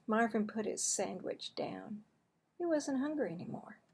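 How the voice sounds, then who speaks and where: A woman reading a children's book aloud as a storyteller, deliberate and expressive. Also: fairly high pitch, breathy edge. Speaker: storybook reader.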